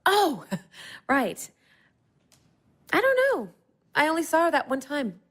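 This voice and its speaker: A woman playing an animated young grad student. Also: high voice, slow, full character voice. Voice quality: lively, laughing storyteller. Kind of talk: audio play acting.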